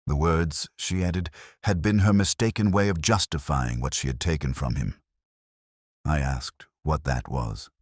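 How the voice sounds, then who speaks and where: Very deep, brooding detective played by a man. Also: husky, no echo, studio quality, heavily acted. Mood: grave, restrained. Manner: measured, reflective, formal. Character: brooding, melancholy older male Oxford police detective. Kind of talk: literary first-person scripted narration.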